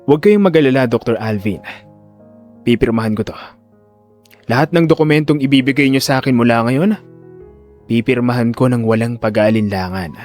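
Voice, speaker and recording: A man playing a cold, calculating neurosurgeon in an audio drama, speaking with controlled, deliberate politeness. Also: mid-range voice, darkish tone, faint music behind, lightly acted. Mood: cold, reassuring. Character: cold, calculating male neurosurgeon secretly bent on revenge.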